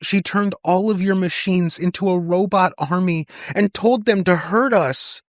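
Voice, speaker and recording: A man's voice: sits high, measured pace, no room sound, clean studio sound, pitched above the performer's own voice. A man voicing a frightened little girl in an audiobook story, hesitant and earnest in her distress. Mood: tearful, distressed. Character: frightened little girl, tearfully recounting a nightmare.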